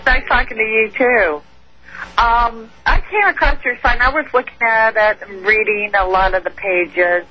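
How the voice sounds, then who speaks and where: A woman calling in to a radio show, with a mixed Southern and Northern US accent, talking hesitantly with many pauses and restarts. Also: fairly high pitch, sharp tone, husky. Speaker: caller. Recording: phone call line.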